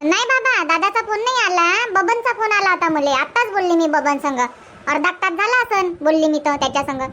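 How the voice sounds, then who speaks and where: A woman's voice: very high voice, lively intonation, a little fast, pushed and bright, exaggerated voice. A woman voicing a chatty young married village daughter in an amateur cartoon comedy, with a rural Vidarbha Marathi accent. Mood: cheerful, chatty.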